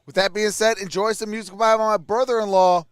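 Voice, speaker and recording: A man's voice: high-pitched, steady tone, fairly quick, clean tone, no room sound, studio quality. A man hosting a sports stream with casual live reactions and commentary.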